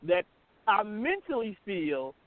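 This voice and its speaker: A man's voice: sits high, wildly swooping pitch, unhurried, noisy background. A middle-aged man co-hosting a radio talk show, giving his opinions in casual, emphatic, colloquial chat. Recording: thin phone-like band-limited sound.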